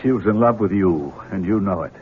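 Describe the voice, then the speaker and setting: A stern, insistent accuser with strongly varied intonation. It is measured seventies radio-drama delivery on a muffled old recording. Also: male voice, low-pitched, lively intonation. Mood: accusing. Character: stern, accusing man pressing for a confession. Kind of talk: 1970s radio drama acting.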